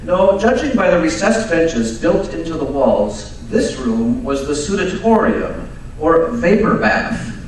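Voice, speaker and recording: A man playing a coolly superior detective in an old-time radio drama, speaking in a measured, didactic and pedantic way. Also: a little high, big pitch swings. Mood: pedantic, composed. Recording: echoey live stage, distant mic, faint noise.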